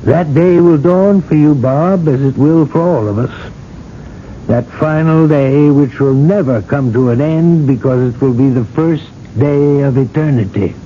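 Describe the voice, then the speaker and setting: Radio play role: man playing elderly cardinal, measured, persistent, wryly needling, on a dull vintage broadcast. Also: close to an everyday voice. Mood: grave, admonishing. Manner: measured, rhetorical, gently needling. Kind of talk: radio drama acting. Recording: muffled vintage radio broadcast, noisy rumble.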